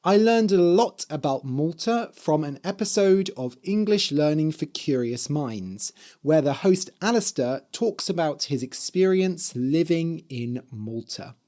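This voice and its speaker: A man hosting an English-learning podcast, speaking in a hoarse, slightly dry voice and explaining words clearly for learners. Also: a little high, lively intonation, unhurried, warm tone. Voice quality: spells words out letter by letter. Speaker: podcast host and English teacher. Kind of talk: slow, clear teaching talk for learners.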